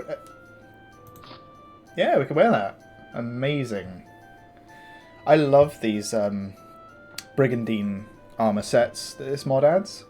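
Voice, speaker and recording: A man with a gravelly morning voice, casually commenting on his game as he plays, thinking aloud with fillers and restarts. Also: medium pitch, darkish tone. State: tired morning voice. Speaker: gameplay commentator. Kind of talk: casual let's-play commentary.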